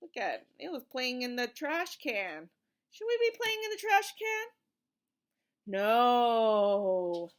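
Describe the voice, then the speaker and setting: High-pitched woman reading to young children. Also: huge pitch swings, takes it slowly, long pauses. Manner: encouraging, sing-song, asks questions and praises listeners like a preschool teacher. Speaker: children's read-aloud host, teacher-like. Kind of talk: interactive picture-book read-aloud for young children.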